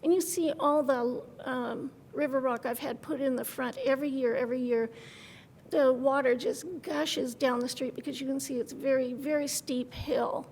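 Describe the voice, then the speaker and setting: A woman's voice: high-pitched, steady tone, normal speed, darkish tone, long reverb tail, slight background noise, low-quality recording. An older woman giving rambling, heartfelt public comment at a city meeting, speaking at a podium microphone. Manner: folksy and rambling, with homey terms like hon and love. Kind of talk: nervous testimony at a public meeting. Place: city council chamber.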